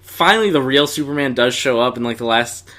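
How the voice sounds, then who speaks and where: A man with a notably bright, forward voice and mid-range pitch talks as a podcast co-host. He gives his opinions in a casual, conversational review style. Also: animated, a little fast.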